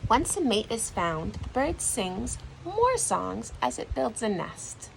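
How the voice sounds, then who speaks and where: A woman reading a children's storybook aloud like a teacher, in an expressive, deliberate storytelling manner. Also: on the high side, gravelly, slight background noise, rough recording.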